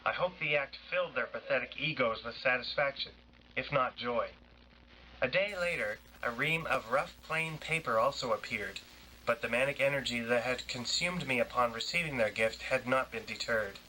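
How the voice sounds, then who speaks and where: A man reading a first-person literary piece in a measured, dramatic way. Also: medium pitch, pushed and bright, slight background noise, poor audio.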